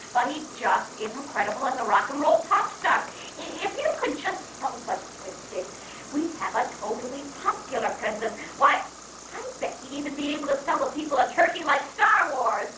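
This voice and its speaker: A woman's voice: high voice, animated, moderate pace. A woman playing an officious, hesitant government official chairing a meeting in a satirical play reading. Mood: pleading. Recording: echoey noisy live recording, distant microphone.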